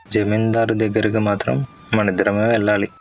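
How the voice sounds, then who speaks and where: A man's voice: fairly low pitch, completely flat delivery, a little fast, faint music behind, close to an everyday voice. A man playing a sensible, traditional-minded village uncle in a cartoon story, firm and respectful. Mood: earnest. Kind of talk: Telugu cartoon story dialogue.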